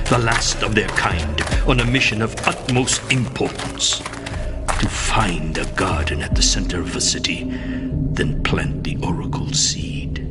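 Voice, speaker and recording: A man playing a weary spirit guide who tells an epic tale theatrically in a video game cutscene. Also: fairly low pitch. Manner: slow, theatrical, savoring phrases. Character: weary male bird spirit guide and storyteller. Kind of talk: dramatic storytelling narration in a game cutscene. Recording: loud music behind.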